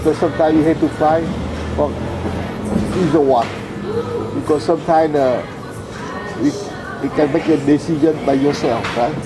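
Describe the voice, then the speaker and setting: An older man from Vietnam, a former air force officer, recounting his past in an interview with halting speech full of fillers and tag questions. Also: on the high side, fairly even intonation, over music, low-quality recording. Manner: halting, many fillers and "you know, right?" tags. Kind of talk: oral history interview.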